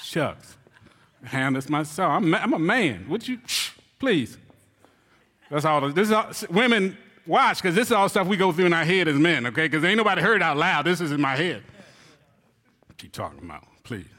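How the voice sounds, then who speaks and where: A man preaching a sermon, telling a comic story with shouted cries and playful asides to the congregation. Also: a little high, big pitch swings, normal speed. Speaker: pastor. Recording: long reverb of a large hall.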